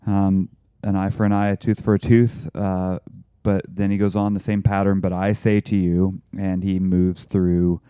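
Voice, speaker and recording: Low dark-voiced guy on a church podcast, rambly, restarts a lot, dry close mic. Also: little pitch movement, normal speed, smooth, no echo. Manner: rambling, frequent restarts and fillers, laughs easily. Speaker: pastor and podcast co-host. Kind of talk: casual discussion.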